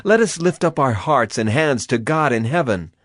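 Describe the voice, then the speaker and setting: An actor playing a grieving, afflicted prophet in a dramatized scripture reading, with solemn, emphatic and deliberate phrasing. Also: male voice, medium pitch, lively intonation, fairly bright tone, dry recording, clean studio sound. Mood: solemn hope. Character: grieving, afflicted male prophet lamenting and praying.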